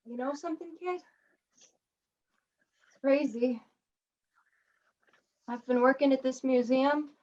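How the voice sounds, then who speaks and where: Kindly, rough-edged older janitor played by a young woman, stage play, chatty and folksy; higher voice, dull recording. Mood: fond, reminiscing. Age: young adult. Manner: folksy, rambling, halting. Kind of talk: student one-act stage play acting.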